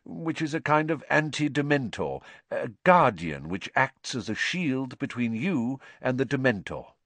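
A man voicing a gentle, tired middle-aged teacher who explains things patiently. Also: medium pitch, big pitch swings, a little slow, no room sound, voice pushed up.

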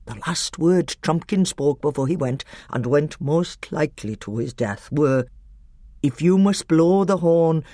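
Brief: patient old badger, slow and steadfast, played by a storybook reader. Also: mid-range voice, no echo, studio quality, lightly acted. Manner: steady, earnest.

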